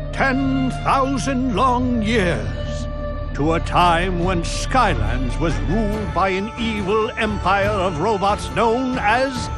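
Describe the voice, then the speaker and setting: An actor playing a wise old wizard mentor, narrating a fantasy game in a grand, solemn storytelling manner. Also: male voice, high voice, exaggerated voice.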